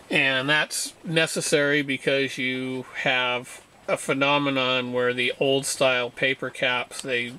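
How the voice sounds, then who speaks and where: A man giving an informal hands-on demonstration as a hobbyist restorer, explaining in a halting, matter-of-fact way. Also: medium pitch, sharp tone, a little hiss or hum.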